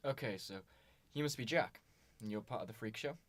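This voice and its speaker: A man's voice: fairly low pitch, a little fast, no echo, natural voice. A man playing an earnest police inspector in an amateur radio drama, matter-of-fact and full of questions. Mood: businesslike. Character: earnest, businesslike male police inspector investigating murder.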